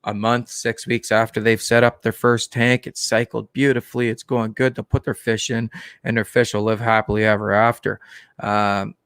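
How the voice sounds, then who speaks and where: A man hosting a live stream about the aquarium hobby, talking casually in a monotone, with many fillers and restarts. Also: fairly low pitch, clear, resonant tone, no room sound. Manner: plain, unhurried talk with many fillers and restarts.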